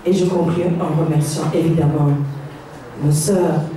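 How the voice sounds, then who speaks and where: A woman's voice: deep voice, steady tone, a little slow, dark tone. A woman giving an impassioned rally speech, building her points through repetition and long lists. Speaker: invited speaker at a rally. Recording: very echoey hall, distant mic, background noise.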